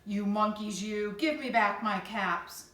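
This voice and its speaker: A woman's voice: mid-range voice, fairly bright tone. A woman voicing an exasperated male cap peddler from a children's storybook, with an emphatic delivery. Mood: angry scolding. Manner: emphatic, scolding, halting repetition. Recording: echoey room with faint noise.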